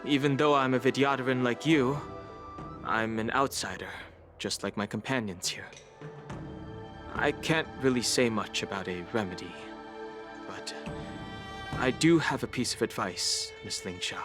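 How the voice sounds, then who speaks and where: A man's voice: medium pitch, measured pace, lightly acted. A man voicing a stoic, cautious young outsider in a video game, speaking formally and with reserve. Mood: guarded, advising. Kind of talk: English video game voice acting. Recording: loud music under the voice.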